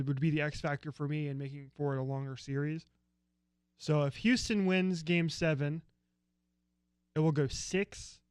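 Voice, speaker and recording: An American man co-hosts a casual sports talk show, opinionated and rambling, grumbling dryly about rivals. His voice sits high for a man and sounds crisp and resonant. The recording is close and dry. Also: no echo. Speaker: co-host.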